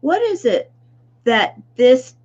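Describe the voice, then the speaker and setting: A woman host chatting with a guest, enthusiastic and laughing easily. She speaks slowly, with a lively, swooping pitch that sits a little high. Her sound comes through dull and lo-fi. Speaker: show host. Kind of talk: casual interview chat.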